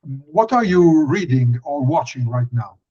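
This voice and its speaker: A man's voice: fairly high pitch, expressive, unhurried, warm tone, lo-fi sound. A man with an Italian accent asking interview questions hesitantly, often searching for words.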